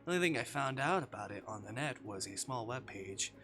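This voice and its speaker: A man's voice: mid-range voice, lively intonation, a little fast, music in the background. A man livestreaming, reading a visual novel aloud and dropping into casual, sweary asides.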